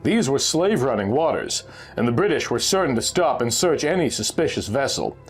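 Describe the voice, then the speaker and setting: A man's voice: medium pitch, big pitch swings, fairly quick, slightly rough, soft background music. A man narrating a scripted adventure story in a theatrical, suspenseful storytelling manner. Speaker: narrator reading a pulp magazine story.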